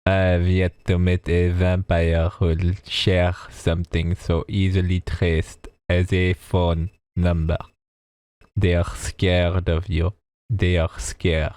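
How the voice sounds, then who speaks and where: A man's voice: deep voice, flat delivery, takes it slowly, darkish tone. A man reading as a vain, sly vampire. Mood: scheming.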